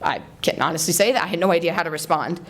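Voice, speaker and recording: A young American woman delivering a rehearsed persuasive speech to an audience, in an earnest and deliberate rhetorical manner. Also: fairly low pitch. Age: young adult. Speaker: student speech contest finalist. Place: college hall, before a live audience.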